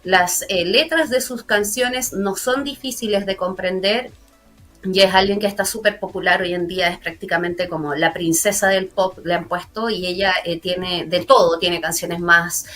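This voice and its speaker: A woman's voice: fairly low pitch, steady tone, moderate pace, fairly bright tone. A woman English teacher with a Chilean accent, explaining language learning in an earnest, step-by-step way during a studio interview. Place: broadcast studio.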